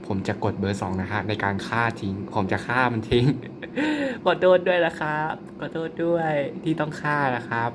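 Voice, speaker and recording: A man's voice: medium pitch, noisy background. A man giving casual commentary on his mobile game, playful and jokey, tossing out mock-polite apologies and frequent exclamations. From Thailand. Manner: exclaims and laughs often. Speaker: mobile game player and channel host.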